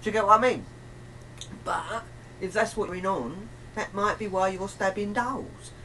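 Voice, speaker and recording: A woman's voice: mid-range voice, normal speed, bright, forceful tone. A woman chatting casually in a rambling, emphatic, colloquial way, often acting out bits of reported dialogue. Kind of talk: casual chat. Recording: distant mic, faint room noise.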